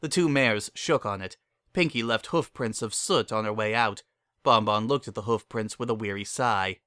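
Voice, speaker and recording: A man giving an expressive, animated dramatic reading as a story narrator. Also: fairly low pitch, moderate pace, clear, resonant tone, no room sound.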